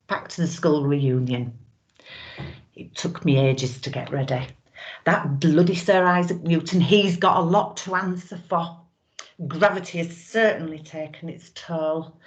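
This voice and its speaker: A woman playing a brazen, wistful middle-aged widow in a theatrical monologue, knowing and suggestive with drawn-out pauses, on a lo-fi recording. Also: deep voice. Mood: wry.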